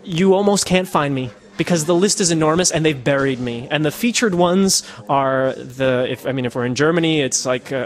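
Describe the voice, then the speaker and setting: A man from the United States, a podcaster, answering interview questions in a casual, thoughtful way with many fillers and restarts. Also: a little high. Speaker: podcaster and citizen journalist. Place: Netherlands.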